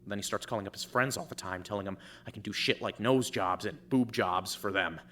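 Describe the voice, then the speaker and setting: A man voicing a shaken teenage boy in a fan audio drama, who talks haltingly and stumbles over repeated words. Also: fairly low pitch, bright, forceful tone, a little hiss or hum. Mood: ashamed, halting.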